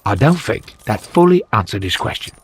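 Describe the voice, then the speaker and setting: A man's voice: on the low side, very animated, moderate pace, heavy background noise. A man playing an elderly, fussy, dryly witty British butler, narrating game cutscenes in a wry, understated way. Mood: wry, puzzled.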